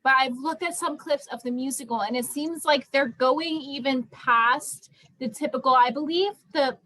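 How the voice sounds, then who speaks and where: A woman's voice: high voice, moderate pace, bright, forceful tone, rough recording. A young woman leading a casual group discussion in an emphatic, conversational way, repeating points to stress them. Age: young adult. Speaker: student theatre group discussion leader.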